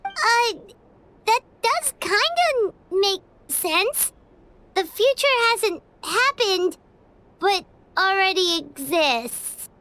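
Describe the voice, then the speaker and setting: A squeaky, childlike little fairy companion. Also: female voice, sing-song, drawn-out words, sharp tone, heavily acted. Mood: curious. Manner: refers to herself in third person, blurts things out. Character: small childlike fairy companion, chatty, curious, naive, excitable. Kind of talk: video game character dialogue. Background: music.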